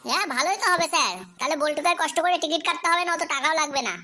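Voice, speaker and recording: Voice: sits high, rapid-fire, bright, forceful tone. An actor playing a cheeky, silly schoolboy in a comedy cartoon, giving playful, literal-minded backtalk to a teacher. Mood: innocent cheek. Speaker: pupil answering a teacher.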